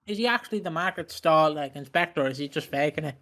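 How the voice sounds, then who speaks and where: Bright-voiced joking man. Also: a little high, lively intonation, fast talker. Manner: jokey, chants playful catchphrases. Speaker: podcast co-host. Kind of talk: casual chat about a TV soap.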